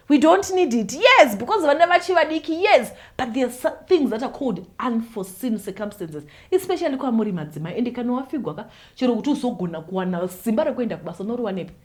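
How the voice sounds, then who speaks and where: A Zimbabwean woman with a fairly high voice talks quickly and animatedly, moving freely between Shona and English. She addresses her viewers in a casual, chatty way, pressing her points and laughing easily as she goes. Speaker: YouTube host.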